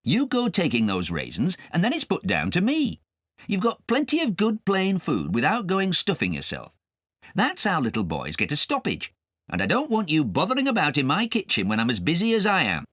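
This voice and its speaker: A man's voice: a little high, huge pitch swings, moderate pace, fairly bright tone, no room sound, studio quality, voice pushed up, heavily acted. A male narrator voicing a busy, cross kitchen maid who grumbles and nags, in a storybook reading. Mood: cross, nagging. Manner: grumbling, nagging.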